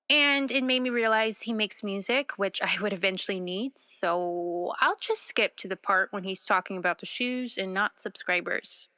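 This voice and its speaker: A woman's voice: medium pitch, pushed and bright, dry recording, lightly acted. A woman playing an earnest young amateur investigator in a scripted podcast drama. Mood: wry. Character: earnest young female amateur investigator narrating her case. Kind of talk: scripted podcast narration and dialogue.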